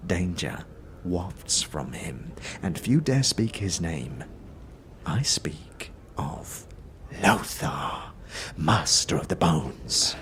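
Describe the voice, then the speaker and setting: A man's voice: deep voice, lively intonation, drawn-out words, fairly bright tone, in a whisper, voice pushed down, heavily acted. A man voicing a chirpy, theatrical tavern keeper in a fantasy audio drama, florid, hesitant and conspiratorial. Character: chirpy, theatrical male tavern keeper with flair for spooky tales.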